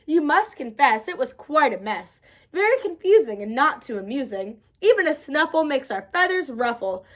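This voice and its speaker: A woman voicing panicky, pleading storybook birds in a shrill, urgent manner. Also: sing-song, normal speed, fairly bright tone. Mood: alarmed.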